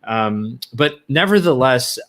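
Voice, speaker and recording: A man's voice: medium pitch, expressive, slow pace, a bit bright. A man from the United States hosting an interview show, asking long, rambling, friendly questions. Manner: rambling, many fillers and restarts, friendly. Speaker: podcast host. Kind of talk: casual interview conversation.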